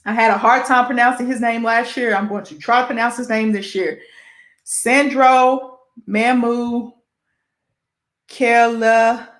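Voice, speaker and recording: A woman's voice: on the high side, fairly even intonation, measured pace. Midwest american lady doing a sports show, chatty, kinda self-deprecating, reading off lists, echoey room sound.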